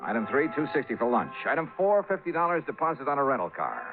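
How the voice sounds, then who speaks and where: An actor playing a wisecracking, worldly male insurance investigator in a 1950s radio drama, narrating in a wry, hard-boiled way with dramatic pauses. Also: mid-range voice, sing-song, narrow, tinny audio. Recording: old band-limited radio recording with music.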